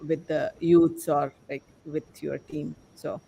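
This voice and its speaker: Guy with a pretty high voice hosting a podcast panel, kinda halting and rambly. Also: level tone, vocal fry, mellow, slightly rough, rough recording. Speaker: podcast host.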